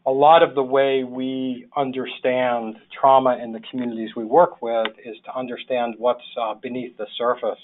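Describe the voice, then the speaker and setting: A sixty-year-old man with a New York accent giving an informal slide presentation in a halting, reflective way as a webinar presenter. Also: mid-range voice. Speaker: webinar presenter, public health social worker. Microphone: phone or webinar call. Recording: thin phone-line webinar audio.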